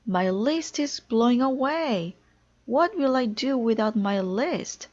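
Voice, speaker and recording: An anxious, stubborn toad from a children's storybook, played by a woman. He speaks very slowly and plaintively, with emphatic delivery and a pitch lifted above the reader's usual. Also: lively intonation, darkish tone, muffled recording, a little hiss or hum. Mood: panicked, wailing. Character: anxious, stubborn male toad, easily upset.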